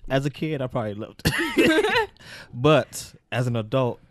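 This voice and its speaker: A man's voice: mid-range voice, huge pitch swings, measured pace, fairly bright tone, slight background noise. A man chatting casually as a podcast panelist, often protesting defensively, on a close microphone. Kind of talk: casual discussion banter. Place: small room around a table, indoors.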